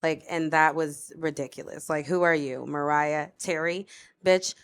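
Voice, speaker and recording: A woman's voice: low-pitched, steady tone, unhurried, smooth. A woman singer, a guest on a podcast, talking in a casual, playful and chatty way. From Florida.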